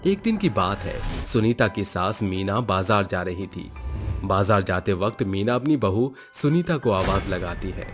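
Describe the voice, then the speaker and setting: Man, low voice, story narrator, scripted moral tale, faint background music. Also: big pitch swings, measured pace, fairly bright tone.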